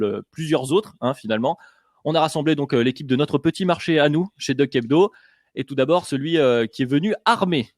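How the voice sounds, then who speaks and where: A man's voice: medium pitch, no echo, clean studio sound. A man hosting a podcast discussion, chatty and full of hesitations and fillers. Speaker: podcast host.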